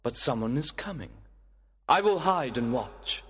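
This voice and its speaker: A man's voice: medium pitch, lively intonation, a little slow, a bit bright, a little hiss or hum. A man playing an earnest, hot-tempered young nobleman in a dramatised audiobook tragedy, declaiming his lines with long reverb. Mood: mournful. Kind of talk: dramatised graded-reader audiobook.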